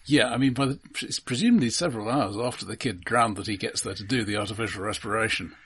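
A man with a lowish, rough-edged voice talking as co-host of a film podcast. His delivery is casual and explanatory, halting as he reworks his phrasing. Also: fairly bright tone. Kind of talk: casual analytical film discussion.

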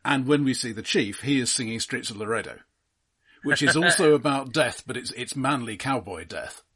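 Male film podcast co-host, medium pitch, slightly rough husky tone, explanatory, stop-start conversational delivery. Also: expressive, moderate pace, a bit bright. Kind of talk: casual film discussion.

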